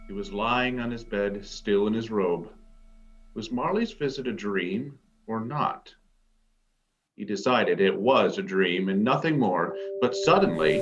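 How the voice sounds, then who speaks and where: A man narrating a ghost story as a measured storyteller in a scripted dramatic reading. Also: medium pitch, low-quality recording.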